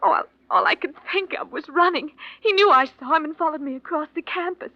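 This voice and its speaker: An actress playing a distraught, apologetic professor's wife in a 1940s radio drama, halting, breathless and earnest. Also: female voice, sits high, lively intonation, no room sound. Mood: agitated. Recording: muffled vintage 1940s radio recording.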